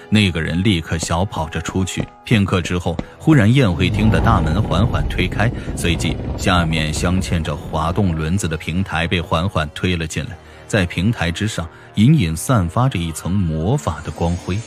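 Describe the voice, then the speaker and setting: Low voiced guy reading a fantasy audiobook, dramatic and deliberate, soft music under him. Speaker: audiobook narrator. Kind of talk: scripted fantasy novel narration.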